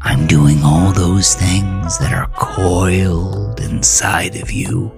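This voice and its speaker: A narrator voicing a menacing, mocking male killer in a horror audio drama, taunting and deliberate. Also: deep voice, steady tone, gravelly, full character voice. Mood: intense, preaching. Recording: music bed behind voice.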